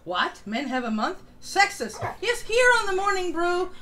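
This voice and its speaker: A woman's voice: very high-pitched, very animated, normal speed, pushed and bright, slight background noise. A woman hosting a morning livestream, chatting casually and greeting listeners, with dry sarcasm, self-mockery and casual swearing. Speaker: host of a morning livestream news show.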